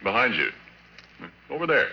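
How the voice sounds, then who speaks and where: An actor playing an easygoing oil-rig roughneck telling a horror tale in folksy, colloquial 1940s radio drama style, with a gruff voice. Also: male voice, medium pitch, sing-song, moderate pace. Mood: surprised, dismissive. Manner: drawling, colloquial, conversational storytelling. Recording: muffled, noisy old radio recording.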